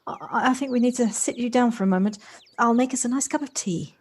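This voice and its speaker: A woman's voice: a little high, brisk, a little mellow, lightly acted. An actress playing a polite, flustered, self-doubting city woman in an audio play, hesitant and apologetic as she talks. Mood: concerned, weary.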